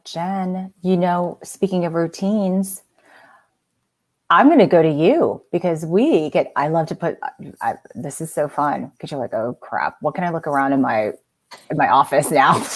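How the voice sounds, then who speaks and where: A woman hosting a casual talk show, chatty and rambling, asking questions with many restarts. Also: fairly low pitch. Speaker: host. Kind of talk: casual chat.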